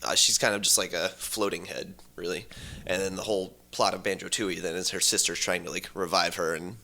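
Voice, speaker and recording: Male co-host, slightly low but forceful voice, halting casual explainer. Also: steady tone, vocal fry, slight background noise. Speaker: podcast co-host. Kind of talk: casual chat, explaining game plots.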